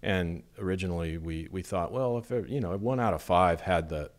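Interview guest: deep-voiced middle-aged architect from Las Vegas, measured and thoughtful, lots of ums. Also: male voice. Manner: measured, thoughtful, with many fillers. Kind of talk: relaxed conversational interview.

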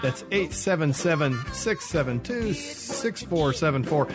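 A man's voice: on the high side, lively intonation, unhurried, over music. A man hosting a radio interview show, chatting conversationally and often answering with short affirmations. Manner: conversational, lots of affirming replies.

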